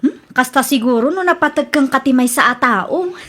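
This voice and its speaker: Woman acting a trusting young girlfriend, really high voice, radio drama comedy. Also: lively intonation, normal speed, fairly bright tone. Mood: hopeful. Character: hopeful, trusting young girlfriend, easily dismayed.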